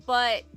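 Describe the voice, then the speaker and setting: A woman from Puerto Rico chats with her live-stream viewers from her home computer, rambling from one thought to the next. Her voice sits a little high and rings bright and forward. Faint music plays underneath. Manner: chatty and rambling, fast-talking with frequent "like". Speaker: booktube streamer hosting reading sprints. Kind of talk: casual live-stream chat.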